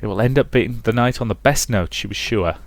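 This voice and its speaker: Low-voiced man reading a visual novel. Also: a little fast, no echo. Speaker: let's player and narrator. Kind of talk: reading a visual novel aloud with casual commentary.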